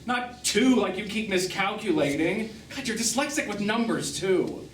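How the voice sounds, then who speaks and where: Bitter, animated jilted lover, distant stage. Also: male voice, high-pitched, normal speed. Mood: accusing. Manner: theatrical, cutting, emphatic. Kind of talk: live one-act stage drama acting. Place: small theatre stage, recorded from afar. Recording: distant noisy roomy theatre stage recording.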